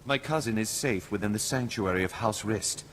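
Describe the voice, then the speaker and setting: Formal scheming lord done by a male actor for a video game, grave and measured, big echoey hall, faint hiss. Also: lowish voice, a bit bright, natural voice. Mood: grave, resigned. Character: scheming, formal male aristocrat hiring a killer. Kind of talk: scripted video game acting. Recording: reverberant hall, faint noise.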